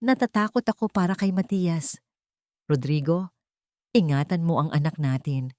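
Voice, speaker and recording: A woman's voice: low voice, moderate pace, warm tone, no room sound, natural voice. A woman narrator voicing a weak, tired and frightened dying wife leaving a last message in an audio drama. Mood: fearful pleading.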